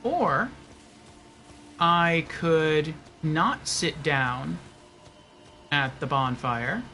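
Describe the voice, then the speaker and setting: A man's voice: a little high, very slow, pushed and bright, soft background music. A man giving casual livestream game commentary, thinking aloud and reasoning things out step by step.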